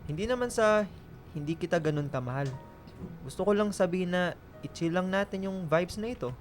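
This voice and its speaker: An actor playing a gentle, apologetic young lover in a radio drama, soft-spoken and earnest. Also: male voice, high-pitched, lively intonation, a little fast, faint music behind. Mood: hesitant, earnest.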